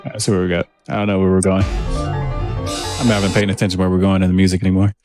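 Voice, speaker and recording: A man with a deep voice chatting casually on a livestream while he makes music, laid-back and rambling as he reads viewer comments. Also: flat delivery, fast talker. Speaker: music producer streaming his session.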